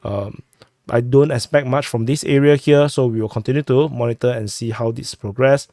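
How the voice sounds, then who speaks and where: A man who is sick, hosting a war update and walking through a map, talking haltingly with many fillers and restarts on a close mic. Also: mid-range voice, steady tone, mellow, no room sound. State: sick, clearing throat. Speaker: war news host.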